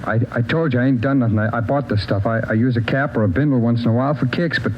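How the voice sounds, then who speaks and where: An American actor playing a jittery, evasive addict drifter who stammers and repeats questions back, in a 1940s radio crime drama. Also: male voice, medium pitch, quick pace, natural voice. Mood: defensive, rattled. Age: 30s. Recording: muffled, noisy old radio recording.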